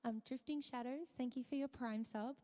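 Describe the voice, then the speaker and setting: Hoarse, tired-voiced woman streamer. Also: sits high, steady tone, moderate pace, warm tone, long reverb tail. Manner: chatty, half-whispering. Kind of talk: casual live-stream chat.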